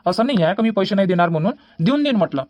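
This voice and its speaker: Someone voicing a fast-talking young village man. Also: mid-range voice, animated, a little mellow, close to an everyday voice. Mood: apologetic. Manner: apologetic, hesitant, starts lines with "uh". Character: polite young village man borrowing a bike.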